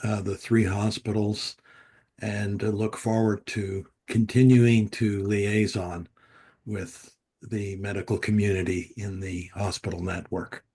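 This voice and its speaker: A man's voice: on the low side, little pitch movement, measured pace, warm tone, slightly rough. A man in his sixties, born in Canada, a volunteer liaison, giving a friendly self-introduction. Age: 60s.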